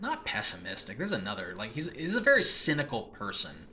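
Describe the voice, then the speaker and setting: A man's voice: fairly low pitch, huge pitch swings, brisk, bright, forceful tone, a little hiss or hum. A man talking casually and enthusiastically as a solo podcast host about his own project, rambling and often searching for words in a makeshift home recording. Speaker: podcast host and audio drama creator. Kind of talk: casual solo talk previewing own show.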